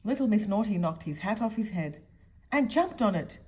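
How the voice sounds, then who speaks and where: Female storyteller for a children's picture book, expressive, highly varied intonation, speaks straight to young listeners. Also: moderate pace.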